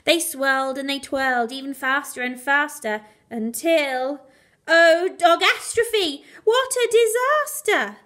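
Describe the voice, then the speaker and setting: Female storyteller, high-pitched, expressive children's bedtime read, animated rhyming delivery with dramatic emphasis. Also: slow pace. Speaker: primary school teacher reading a bedtime story.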